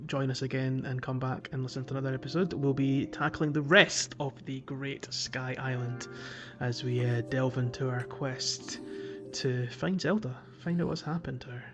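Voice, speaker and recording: A man with a medium-pitched voice hosts a casual gaming podcast. He speaks in a halting, hesitant way, with music in the background. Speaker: podcast host. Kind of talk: casual podcast chat about a video game.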